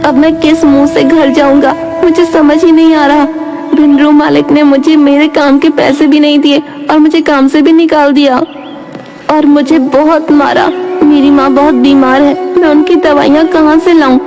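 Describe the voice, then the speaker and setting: Woman voicing poor young boy, high-pitched, narrow monotone range, pleading manner, cartoon acting. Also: moderate pace. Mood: miserable. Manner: earnest, plaintive pleading. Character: poor, pleading young servant boy, under fourteen, meek. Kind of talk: Hindi moral cartoon voice acting. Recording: music behind the voice.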